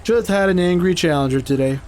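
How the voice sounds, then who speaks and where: A man's voice: high-pitched, a little fast, darkish tone, a little hiss or hum, close to an everyday voice. A man playing a confident, easygoing martial arts master in an audio drama, casual and assured. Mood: relaxed.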